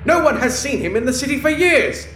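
A man's voice: very high-pitched, big pitch swings, a little fast, background noise. A man playing a cornered villain, a former captor, anxious and pleading as he reasons, in a sci-fi audio drama. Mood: stern, reasoning. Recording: echoing room, music underneath.

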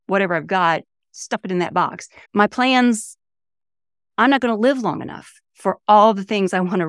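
A woman's voice: medium pitch, no echo. Enthusiastic woman in her fifties, dry sound. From St. Louis area, USA. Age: 50s. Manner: chatty, enthusiastic storyteller. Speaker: author and editor, podcast guest. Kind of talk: casual interview talk.

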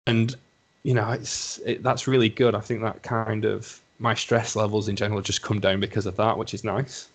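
Young Lancashire man, low-pitched, chatty podcast guest who rambles and reflects aloud. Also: level tone, normal speed. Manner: rambling, self-reflective, hedges with "you know". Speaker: podcast guest, assistant psychologist. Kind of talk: casual conversation.

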